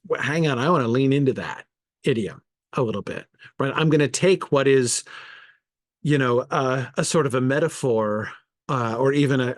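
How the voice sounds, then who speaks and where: A man's voice: medium pitch, dry recording. Thoughtful man teaching, close dry sound. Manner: hesitant, self-correcting, thinking aloud. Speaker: teacher leading an online Bible study. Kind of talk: informal lecture with discussion of viewer comments.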